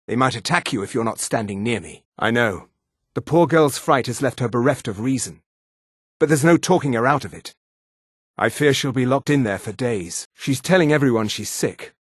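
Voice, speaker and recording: Raspy, haughty high elf mage. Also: male voice, expressive, moderate pace, no room sound, studio quality. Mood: concerned, confiding. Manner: imperious, disdainful, theatrical. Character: haughty high elf mage, arrogant adult male supremacist. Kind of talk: video game character voice lines.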